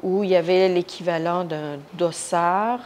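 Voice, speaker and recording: A woman with a slightly low voice tells her own story in an interview. She speaks spontaneously and haltingly, feeling for the right word as she goes. There is faint noise behind her. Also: fairly even intonation, a little mellow. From Iran. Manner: halting, searching for words. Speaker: interviewee, activist recounting her detention. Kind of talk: spontaneous first-person account in an interview. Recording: noticeable background noise.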